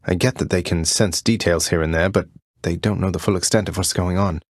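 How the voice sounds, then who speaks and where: Young rebellious underworld prince, video game character, low pitch, dry studio sound, earnest, wry, polite understatement. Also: male voice, steady tone, a little fast, no room sound. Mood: earnest, searching.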